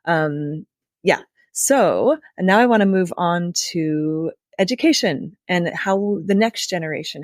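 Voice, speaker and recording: A woman's voice: on the low side, a little mellow, dry recording, studio quality. A woman hosting a podcast interview, speaking in an affirming, conversational way and building on her guest's points. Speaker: podcast host.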